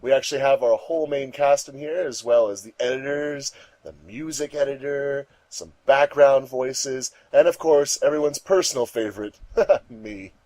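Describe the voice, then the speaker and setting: A man with a middle-pitched voice hosts in a casual style. His delivery is upbeat and announcer-like, with emphatic stresses on key words. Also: normal speed, a little mellow, no echo. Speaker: host of a fandub commentary track. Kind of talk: casual introductions and chat.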